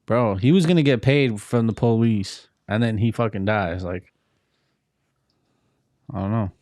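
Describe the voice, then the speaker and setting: A man's voice: fairly low pitch, a little slow, darkish tone, no room sound, studio quality. A man chatting casually, with heavy slang and swearing like a vlogger talking things over. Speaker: vlogger interviewing a witness.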